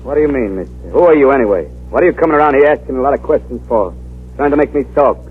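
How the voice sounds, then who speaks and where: An actor playing a surly, suspicious crooked fur dealer in a vintage radio drama, talking gruffly and curtly. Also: male voice, mid-range voice, animated, very fast. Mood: hostile. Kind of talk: 1940s radio acting. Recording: narrow band, hiss, vintage radio broadcast.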